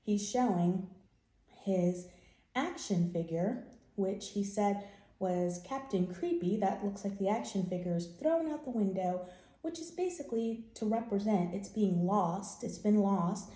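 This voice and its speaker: A woman's voice: mid-range voice, a little slow, mellow, echoey room. A woman hosting a children's read-aloud, telling the story and explaining it in simple, repeated phrases for young listeners.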